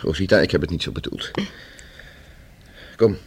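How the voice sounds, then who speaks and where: An actor playing a proud, touchy engineer fiancé who is curt and sullen, in a radio drama. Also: male voice, low-pitched, moderate pace, slight background noise. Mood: resigned.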